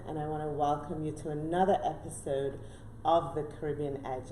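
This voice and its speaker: A woman hosting a live online talk show, welcoming viewers briskly and prompting her guest with short affirmations. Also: deep voice, dark tone. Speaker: host. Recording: echoey room with faint noise.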